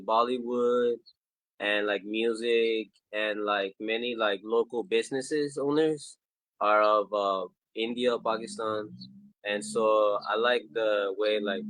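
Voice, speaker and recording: A man's voice: medium pitch, fairly bright tone, no echo, rough recording. A man from Chicago with an American accent, chatting casually and hesitantly as a podcast guest. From Chicago, United States. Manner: hesitant, frequent "like". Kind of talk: casual interview chat.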